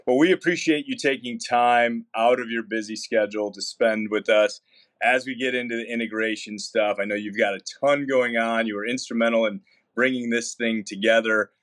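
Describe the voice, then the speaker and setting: A man's voice: mid-range voice, steady tone, normal speed, a bit bright. A man hosting an interview, asking friendly, conversational questions. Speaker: interview host.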